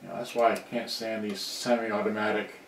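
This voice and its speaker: Low-voiced man, distant in an echoey room. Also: level tone, creaky. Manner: halting, rambling, thinking aloud. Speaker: hobbyist electronics repairer on a livestream. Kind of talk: unscripted casual live demonstration. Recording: distant mic, echoey room, background noise.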